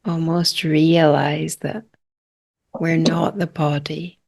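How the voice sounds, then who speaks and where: Female meditation guide: very slow, even delivery, calm, short phrases, generous pauses. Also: low-pitched, vocal fry. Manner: calm, unhurried, hushed phrases with long pauses.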